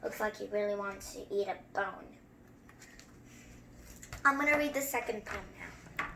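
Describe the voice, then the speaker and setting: A female story-time host reading aloud and adding casual, chatty commentary in a halting way. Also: mid-range voice, sharp tone, slight background noise. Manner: halting word-by-word reading, informal chatty asides. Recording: roomy echo.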